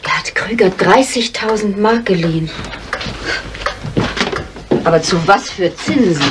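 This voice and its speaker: An actress playing a controlled, determined young daughter in a 1970s radio crime drama, speaking tersely in a husky voice. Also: female voice, medium pitch, lively intonation, a little slow, slight background noise, lightly acted. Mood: wary.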